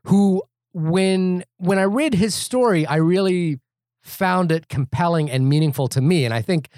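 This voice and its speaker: A man talking through his thoughts in a casual film-review podcast, thoughtful and halting, with many restarts. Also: high voice, a little mellow, no echo, clean studio sound. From New Zealand. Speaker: podcast co-host.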